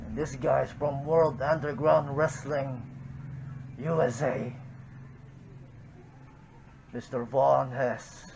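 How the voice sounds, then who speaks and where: Man, pro wrestler, from the Philippines, gravelly voice, slow menacing promo, faint background noise. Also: medium pitch, steady tone, darkish tone, low-quality recording.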